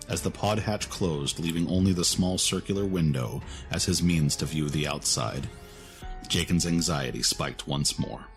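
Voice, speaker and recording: A man with a very deep voice reads a dramatic story as narrator. The delivery is scripted storytelling, and faint music plays behind him.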